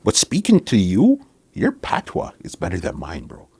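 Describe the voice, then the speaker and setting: A man's voice: low-pitched, wildly swooping pitch, normal speed, a little mellow, slightly rough, no room sound. A man hosting a podcast interview, chatty and encouraging, often saying "bro" and "yeah.". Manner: chatty, lots of agreeing backchannels, says bro. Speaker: podcast host.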